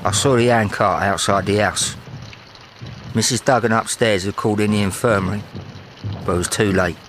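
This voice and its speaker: Rough young London working-class soldier. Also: male voice, lowish voice, steady tone, moderate pace, fairly bright tone, a little hiss or hum, rough recording. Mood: grieving. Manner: blunt, slangy, combative when provoked. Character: tough, touchy working-class London soldier, football-mad. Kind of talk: audio drama acting.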